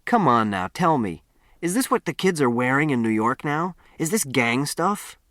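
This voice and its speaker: Man voicing a cocky teasing boy, pitched up. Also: big pitch swings, moderate pace, a bit bright, no room sound, clean studio sound. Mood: teasing, probing. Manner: slangy, teasing, challenging. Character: cocky, mocking small-town American boy.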